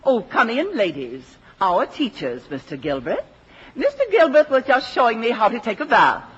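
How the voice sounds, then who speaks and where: A woman's voice: on the high side, very animated, normal speed, husky, full character voice. An actress playing a gushing, easily fascinated school lady in a 1950s radio comedy. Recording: muffled, echoey old radio broadcast.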